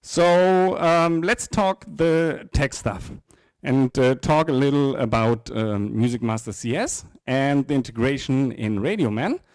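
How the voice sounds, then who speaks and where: A middle-aged man presents software in an informal, halting way, repeating words as he goes. His voice sits at a medium pitch. The handheld microphone gives a dry sound with little room in it. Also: dry recording. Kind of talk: live technical presentation. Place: indoor presentation room with small audience.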